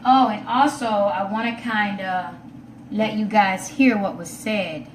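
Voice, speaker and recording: A woman hosts a casual livestream in a roomy, echoing space. She sits back from the mic, with clear noise around her. Her talk is informal and chatty, drifting between addressing viewers and muttering to herself. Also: medium pitch, fairly even intonation, measured pace. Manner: informal, chatty, talking to herself while setting up. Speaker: livestream host. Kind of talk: casual live chat to online viewers. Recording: roomy, distant mic with loud background noise.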